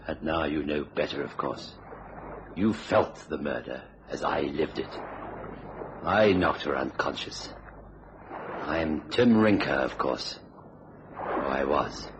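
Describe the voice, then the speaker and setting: A man's voice: a bass voice, slow, pauses often, heavily acted. An actor playing a cold, murderous husband in a radio thriller, suave and theatrical in his menace. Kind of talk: old-time radio thriller acting. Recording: noisy old radio drama recording.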